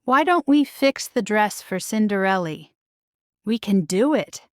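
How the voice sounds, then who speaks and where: Little storybook mouse, done by a woman: caring, eager, excitable, clean dry sound. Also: mid-range voice, unhurried, no echo, lightly acted. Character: friendly, eager little helper mice.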